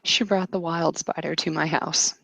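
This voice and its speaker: A woman's voice: sits low, steady tone, moderate pace, vocal fry, fairly bright tone, dry recording, exaggerated voice. A woman playing an earnest, insistent fey woman who speaks solemnly in improvised tabletop roleplay. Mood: wistful.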